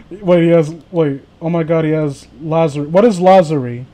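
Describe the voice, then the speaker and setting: A man with a very high voice hosts a casual group chat on a stream. He rambles excitably and circles around his thoughts as he goes. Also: moderate pace. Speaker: host of a live group stream.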